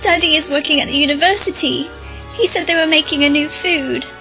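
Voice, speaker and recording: A woman's voice: high voice, steady tone, fairly quick, bright, forceful tone, soft background music. A woman playing a frightened, earnest young girl in an audio drama. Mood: timid.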